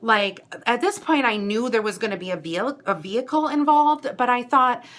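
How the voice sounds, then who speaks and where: An American woman vlogger telling a personal story casually, in a chatty, animated way with dramatic emphasis. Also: a little high, moderate pace.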